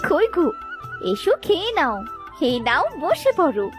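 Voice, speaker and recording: A woman voicing a sweet, excitable young village wife in a cartoon, coaxing and exclamatory. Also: high-pitched, normal speed, over music. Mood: excited.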